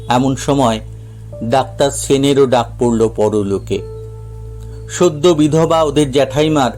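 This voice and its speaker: A man with a fairly high-pitched voice narrates a scripted audio story. His delivery is that of a dramatic storyteller, and music plays softly behind him. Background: background music.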